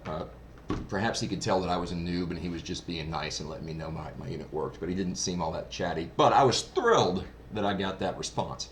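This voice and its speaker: Deep-voiced man chatting over noisy background. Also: a little fast. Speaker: hobbyist product reviewer. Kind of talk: casual first-hand review vlog. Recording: clearly noisy background ambience.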